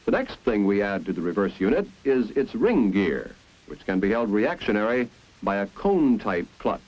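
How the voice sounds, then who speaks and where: An American man reading scripted 1950s instructional film narration in a clear, deliberate, explanatory manner. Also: on the low side, big pitch swings, a little mellow. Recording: dull, band-limited 1950s film soundtrack.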